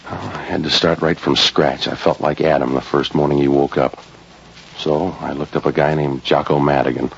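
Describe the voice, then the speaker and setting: An actor playing a cynical, world-weary private detective in 1940s radio noir style, deadpan and sardonic. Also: male voice, extremely low pitch. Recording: muffled, hissy 1940s radio recording.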